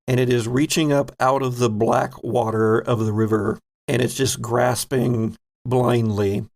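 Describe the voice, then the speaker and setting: A man running a tabletop horror game as game master, narrating dramatically in deliberate short phrases with pauses. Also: mid-range voice, fairly even intonation, vocal fry, darkish tone.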